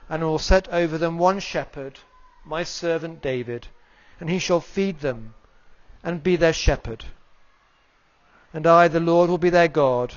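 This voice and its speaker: A man reading a passage of scripture in a steady, even voice at a lectern in a small chapel, recorded from a distant camera. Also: on the high side. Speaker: lay reader. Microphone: far camera. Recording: distant, dull lo-fi camera audio.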